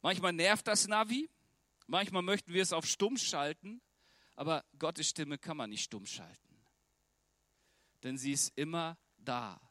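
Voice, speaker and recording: A man's voice: a little high, fairly bright tone, no room sound. A German man preaching a sermon in an earnest, conversational way, often turning to ask the listeners direct questions.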